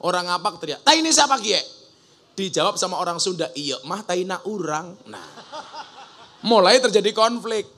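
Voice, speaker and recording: A man's voice: sits high, expressive, moderate pace, creaky, bright, forceful tone, a little hiss or hum. A man preaching a humorous sermon to a gathering, joking and mimicking regional dialects. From Java. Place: outdoor religious school gathering.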